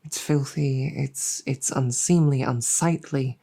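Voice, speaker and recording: A woman voicing a proud but embarrassed female dragon, tender toward a human partner, in an intimate roleplay audio drama, speaking formally and haltingly with a breathy voice. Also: rumbling low, steady tone, dark tone, full character voice. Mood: ashamed, disgusted. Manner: formal, flustered, halting with repeated words.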